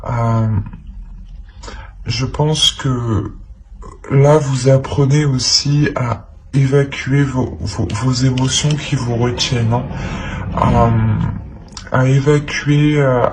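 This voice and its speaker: Slow, halting man, flat delivery. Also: medium pitch, background noise. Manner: hesitant, halting, repeats phrases. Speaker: tarot reader. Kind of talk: improvised tarot reading addressed to the viewer.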